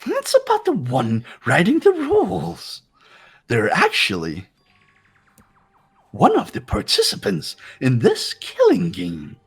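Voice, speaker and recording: A man's voice: high voice, huge pitch swings, slow, gravelly, breathy edge, pitched above the performer's own voice, full character voice. A man voicing a gleefully menacing, mocking robot bear headmaster in a fan-dubbed game, theatrical and teasing in sing-song swoops. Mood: smug, teasing. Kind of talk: fan voice acting of a visual novel game.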